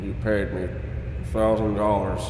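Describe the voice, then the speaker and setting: An actor playing a guilt-troubled night watchman under hypnosis, halting and repeating himself, in 1940s-style radio drama. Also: on the low side, level tone, lightly acted. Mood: drowsy, uneasy. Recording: echoey hangar reverb, noisy ambience.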